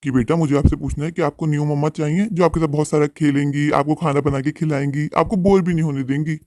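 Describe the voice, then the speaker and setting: A man playing a protective young widowed father in a Hindi cartoon, earnest, respectful and gentle in manner. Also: a little high, fairly even intonation, quick pace, dry recording, studio quality, natural voice. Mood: gentle, hopeful.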